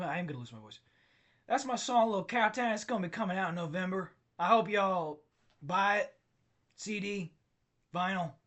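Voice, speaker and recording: A man with a slightly high, bright, forceful voice rambles through a comedy podcast, tripping over his own sentences as he gets worked up. His voice sounds tired and strained from overuse. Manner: rambling, self-interrupting, excitable. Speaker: podcast host. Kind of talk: solo comedic rant podcast.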